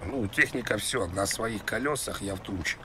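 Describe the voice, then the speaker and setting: Rambling low-voiced stranded driver played by a man. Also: normal speed, pushed and bright. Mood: rambling, worried. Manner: rambling, repetitive, hesitant. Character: rambling confused stranded male driver, a father. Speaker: prank caller, game streamer. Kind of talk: prank phone call.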